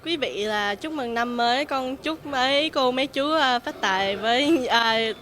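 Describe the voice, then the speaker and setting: A woman's voice: sits high, normal speed, fairly bright tone. A woman chatting about a holiday menu and greeting viewers in a friendly way.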